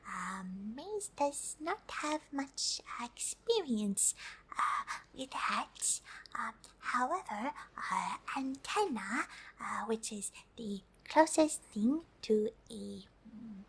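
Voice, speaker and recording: A woman's voice: mid-range voice, creaky, fairly bright tone, husky, exaggerated voice. A woman voicing a friendly, polite alien who is curious about humans, speaking hesitantly in an ASMR roleplay. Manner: hesitant, speaks of self in third person.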